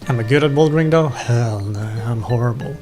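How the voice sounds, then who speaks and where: A man's voice: mid-range voice, a little fast, warm tone. A young man with a non-native English accent, chatting casually as he streams a game, rambling and self-deprecating. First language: not English. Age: young adult. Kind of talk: casual chat while gaming. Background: music.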